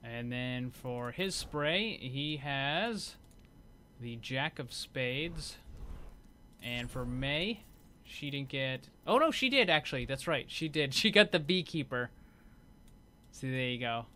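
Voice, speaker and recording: Bright-voiced man doing casual game commentary. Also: medium pitch, animated, takes it slowly. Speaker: gaming video host. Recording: clear background noise.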